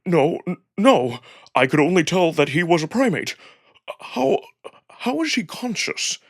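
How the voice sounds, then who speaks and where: A man voicing a timid elderly wolf doctor who stammers and pleads with a husky voice in a visual novel. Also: a little high, big pitch swings, measured pace, no echo, clean studio sound, pitched above the performer's own voice, full character voice. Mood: nervous, deferential.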